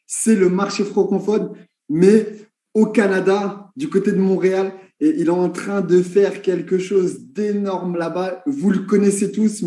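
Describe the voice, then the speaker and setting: A man hosts a video call and introduces a guest, repeating words as he searches for them. His voice is high yet mellow, and it echoes in the room. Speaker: host of the call.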